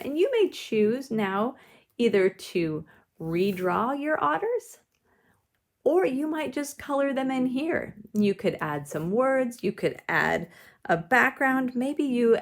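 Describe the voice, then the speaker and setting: A woman leading a drawing lesson, explaining each step simply and with gentle encouragement, as if to children. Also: on the high side. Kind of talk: instructional demonstration, step-by-step.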